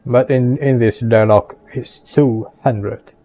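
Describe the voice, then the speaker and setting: A man's voice: medium pitch, steady tone, slow pace, dark tone. A man streaming a game, reacting casually in short phrases and thinking aloud.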